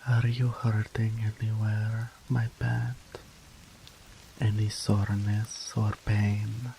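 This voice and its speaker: A man voicing a doting, possessive male incubus demon in an ASMR roleplay audio, speaking softly and slowly in a whispery, pampering way. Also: fairly low pitch, flat delivery, creaky, a little hiss or hum, low-quality recording. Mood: doting, tender. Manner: soft, slow, whispery and pampering.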